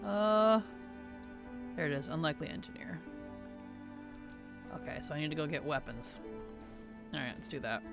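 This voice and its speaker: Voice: mid-range voice, expressive, moderate pace, pauses often, music in the background. Chatty streamer with a cough drop. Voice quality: sensitive, slightly rough throat. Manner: chatty, answers chat while playing. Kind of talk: casual gameplay chat.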